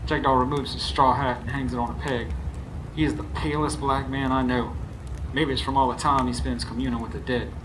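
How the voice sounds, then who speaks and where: A man's voice: mid-range voice, natural voice. A man as wry radio drama hero, noisy recording. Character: narrator hero, nervous, skeptical, unschooled young working man. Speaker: narrator and lead character. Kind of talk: first-person radio drama narration and dialogue. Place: stage before a live studio audience. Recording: live stage recording with clear background noise.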